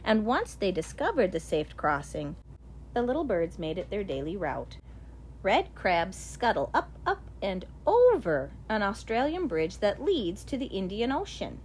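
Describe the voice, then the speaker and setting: A woman narrates a children's nature picture book with deliberate, expressive phrasing. Her medium-pitched voice moves up and down in a lively way, and there is some faint but steady noise behind the recording. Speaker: audiobook reader.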